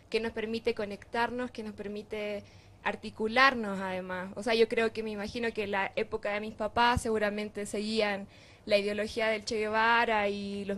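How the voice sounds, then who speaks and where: A woman's voice: mid-range voice, a bit bright. A young woman from Chile, answering interview questions in an earnest, reflective way with some fillers. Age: young adult. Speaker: interviewee, youth group member. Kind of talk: group interview.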